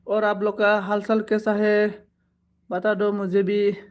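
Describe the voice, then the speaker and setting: Man, high pitch, monotone delivery, casual live stream host, repetitive thanks. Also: slow. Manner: switches between Bodo, Hindi and English phrases; repetitive thanks and requests for support.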